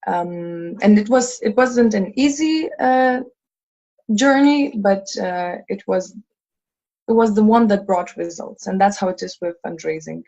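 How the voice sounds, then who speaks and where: A woman's voice: medium pitch, measured pace, dark tone. Dull-sounding young woman on a video call. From Lithuania. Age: 20s. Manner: earnest, many fillers and restarts. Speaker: head of a fundraising team. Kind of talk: casual video call chat.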